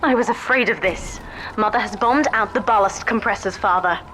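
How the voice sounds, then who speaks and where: A woman's voice: medium pitch, normal speed, background noise, natural voice. A woman playing a devoted, determined young daughter guiding her father in a video game, earnest and articulate. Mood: determined urgency. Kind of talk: dramatic video game voice acting.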